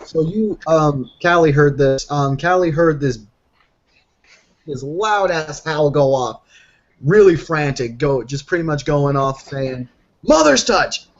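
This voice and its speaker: A man's voice: a little high, slow. A man taking part in a tabletop roleplaying game, speaking in casual narration. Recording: dull, lo-fi voice recording.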